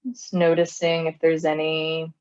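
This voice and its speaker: A woman leading a guided body scan meditation in a calm, gentle, unhurried manner, with long pauses. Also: low voice, highs cut off. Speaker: mindfulness meditation instructor.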